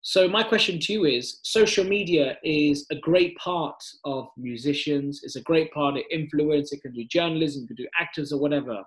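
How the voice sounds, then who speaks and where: Rambling man interviewer, a bit high. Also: moderate pace, few pauses, poor audio. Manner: rambling questions, frequent et cetera. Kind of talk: interview questions.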